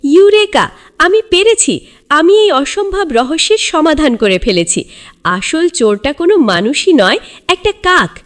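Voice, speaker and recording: A woman voicing an excited, determined little boy detective in a children's cartoon story, with a proud, urgent, exclamatory delivery. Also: sits high, unhurried, voice pushed up. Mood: triumphant.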